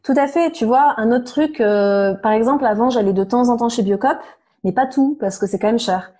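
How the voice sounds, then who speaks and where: A woman in her thirties speaks as an interview guest, her voice slightly hoarse yet mellow and warm. She sits fairly high in pitch and talks frankly and animatedly, full of little fillers and restarts. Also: moderate pace. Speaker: interview guest, nutrition therapist. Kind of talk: casual conversational interview.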